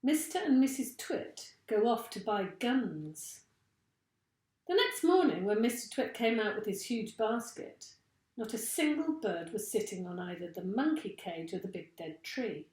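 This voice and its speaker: A woman reading a children's story aloud like a teacher, with expressive, deliberate narration. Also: mid-range voice, reverberant.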